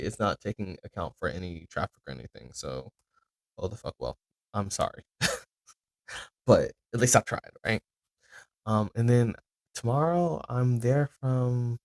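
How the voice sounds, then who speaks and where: Man in his twenties, low-pitched, casual rambling podcast host. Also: dry recording. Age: 20s. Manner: rambling, loose, with many ums and self-corrections.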